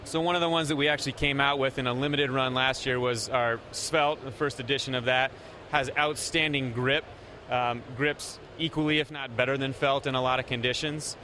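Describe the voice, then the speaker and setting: A man representing a company, explaining product features in a steady way with frequent pauses. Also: medium pitch, slight background noise.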